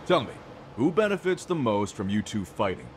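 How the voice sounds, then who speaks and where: A man's voice: fairly low pitch, big pitch swings, moderate pace, a little hiss or hum. A man playing a cocky, teasing streetwise character in a video game dub, with a slangy, swaggering delivery. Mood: sly, persuasive.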